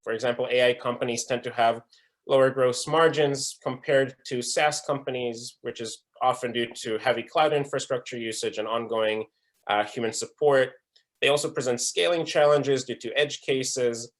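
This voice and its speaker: A man's voice: mid-range voice, fairly even intonation, normal speed. Young man giving a conference talk. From Israel. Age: young adult. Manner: earnest, with frequent um fillers and restarts. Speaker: conference speaker. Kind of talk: presentation talk. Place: online breakout session.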